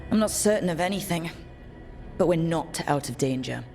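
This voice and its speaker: A woman playing a level-headed, decisive party leader in a fantasy game, speaking in a measured, frank way. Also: deep voice, lively intonation, a little mellow. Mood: measured, resolute. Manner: measured, frank, matter-of-fact. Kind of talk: video game cutscene dialogue. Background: prominent game soundtrack music.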